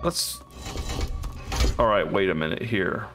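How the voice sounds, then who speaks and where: A man's voice: deep voice, slow pace, music in the background. A man casually commenting on a co-op game as he plays, thinking aloud and unsure as he works through a puzzle. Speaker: co-op game let's player.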